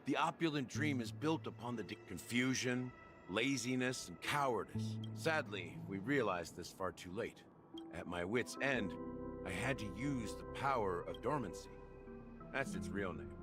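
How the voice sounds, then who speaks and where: A man's voice: lowish voice, expressive, moderate pace, music in the background, close to an everyday voice. An actor playing a world-weary, grave man recounting a regretful past in a video game.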